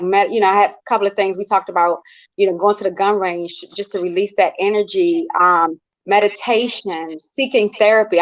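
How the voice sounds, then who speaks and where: An American woman in a casual panel discussion, speaking in an earnest, expressive, conversational way. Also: mid-range voice. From United States. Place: online video call. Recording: dull, lo-fi online call.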